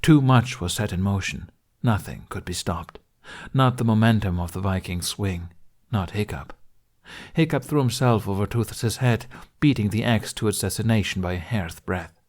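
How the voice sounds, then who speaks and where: A man's voice: on the low side, moderate pace, darkish tone. A man reading a dramatic adventure audiobook as its narrator, in a scripted storytelling style.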